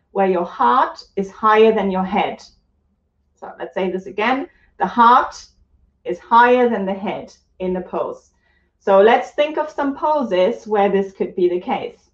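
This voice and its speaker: A woman's voice: mid-range voice, roomy sound, dull audio. Chatty woman from Germany teaching live. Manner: chatty, reads out viewer comments. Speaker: yoga teacher hosting a live stream. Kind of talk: casual live-stream teaching.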